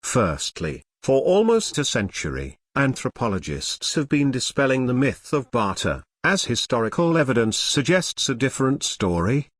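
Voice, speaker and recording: A man reads scripted explainer narration with a lively, rising and falling melody. He sounds formal and earnest, like he is teaching. The sound is dry, with very little room. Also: mid-range voice, normal speed, hardly any pauses, no room sound.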